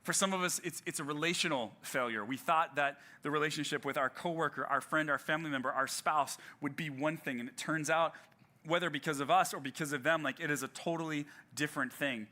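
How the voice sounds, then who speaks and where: A man preaching in a loose, conversational way, stumbling into restarts and dropping in self-deprecating jokes. His voice is very bright and ringing, and sits a bit higher than most men's. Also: brisk. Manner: conversational, with frequent fillers and restarts. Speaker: pastor. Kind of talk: sermon.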